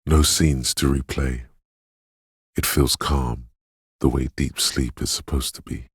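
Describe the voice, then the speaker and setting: Very deep, raspy, brooding inner voice. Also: male voice, steady tone, takes it slowly, dry recording, studio quality, full character voice. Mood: hushed, dreamy. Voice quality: deep, gravelly, husky. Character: inner voice of intuition, brooding, dreamy, ominous and mysterious. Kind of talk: scripted second-person video game narration.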